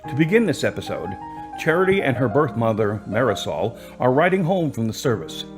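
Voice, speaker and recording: Male narrator, scripted radio serial delivery, mid-pitched, music underneath. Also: very animated, fairly quick.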